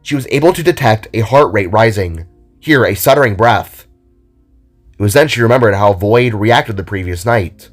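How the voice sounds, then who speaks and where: A man's voice: lowish voice, normal speed, soft background music. A man reading scripted, dramatic narration for an audio drama story.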